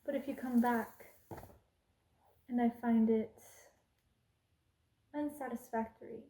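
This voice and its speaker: A woman acts out a cruel, haughty queen for an ASMR roleplay. Her voice sits fairly high yet has a soft, mellow tone. She draws her words out slowly, with imperious disdain and menace. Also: fairly even intonation. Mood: cold disdain. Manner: imperious, slow and deliberate, drops into menacing whispers. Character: haughty, cruel evil queen, threatening and contemptuous.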